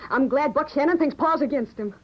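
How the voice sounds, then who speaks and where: A woman's voice: sits high, a little fast, close to an everyday voice. Woman as earnest rancher's daughter, tinny old radio. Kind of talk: 1940s radio western acting. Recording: tinny, phone-like 1940s radio recording.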